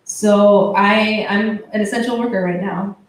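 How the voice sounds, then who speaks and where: A woman's voice: medium pitch, fairly even intonation, a little slow, a little hiss or hum. Young woman, hesitant restarts and fillers, very echoey room, distant mic. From Boston, USA. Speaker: musician guest. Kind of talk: casual interview.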